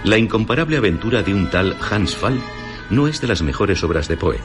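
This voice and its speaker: A man with a Castilian Spanish accent presenting literary radio stories in a measured, dramatic scripted narration. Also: lowish voice, big pitch swings. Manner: measured, dramatic storyteller delivery. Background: music behind the voice.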